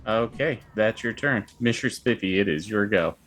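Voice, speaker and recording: A man's voice: on the low side, animated, moderate pace, fairly bright tone, slight background noise. A man acting as game master, narrating and managing turns in an online tabletop game.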